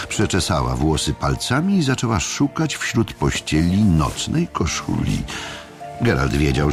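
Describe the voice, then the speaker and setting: A man narrating an audiobook drama as a literary storyteller, measured and deliberate, with dramatic pauses. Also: rumbling low, creaky.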